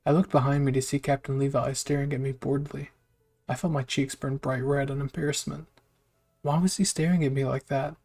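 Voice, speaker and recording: A man's voice: mid-range voice, flat delivery, a little fast, darkish tone. A man reading a scripted first-person story aloud as a narrator. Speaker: fan fiction narrator.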